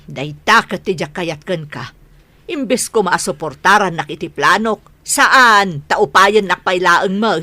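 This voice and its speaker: A woman's voice: lowish voice, unhurried, bright, forceful tone, dry recording. A woman playing a hard-working, exasperated wife and mother in an Ilocano radio drama, plain-spoken and insistent.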